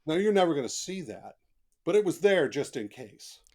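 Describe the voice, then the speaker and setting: A man's voice: fairly high pitch, very animated, moderate pace, a little mellow. A man speaking as an author guest on a podcast, telling stories in a casual, colloquial and emphatic way. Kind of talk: casual podcast interview, anecdotal storytelling.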